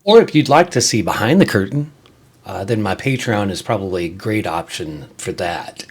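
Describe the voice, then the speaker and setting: A man hosting his own creator show, talking to viewers in a casual, conversational way with frequent halting pauses. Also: lowish voice, lively intonation, slightly rough. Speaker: host of a creator video show, musician. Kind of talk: casual scripted-and-ad-libbed vlog to viewers.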